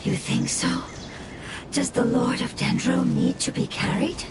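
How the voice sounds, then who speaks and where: A performer voicing a gentle, sorrowful ancient forest spirit in a video game, speaking softly and breathily in a slow, reflective way. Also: high-pitched, animated, heavy background noise. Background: game music.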